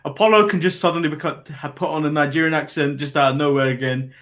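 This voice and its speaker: Male co-host, fairly high voice, easygoing recapper, hesitant and amused. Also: fairly even intonation, fast, a bit bright. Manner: recaps from notes, hesitant fillers, laughs. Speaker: podcast co-host. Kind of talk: casual wrestling review chat.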